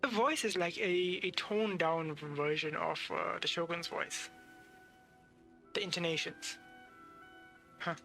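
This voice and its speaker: A woman's voice: fairly low pitch, steady tone, measured pace, pauses often, a bit bright, soft background music. A woman game streamer giving casual live commentary, musing aloud in an offhand way.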